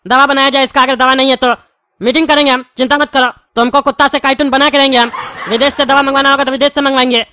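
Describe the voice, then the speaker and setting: A man's voice: very high-pitched, pushed and bright, no echo. A man voicing a blustering cartoon politician and strict father, with emphatic, repetitive rustic speech. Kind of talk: comic cartoon dialogue.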